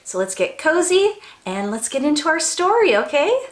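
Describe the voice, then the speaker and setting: Animated high-pitched woman reading to children. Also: moderate pace, reverberant. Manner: animated, gasping, cooing asides to young listeners. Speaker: children's storytime host and teacher. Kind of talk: expressive read-aloud of a children's rhyming story.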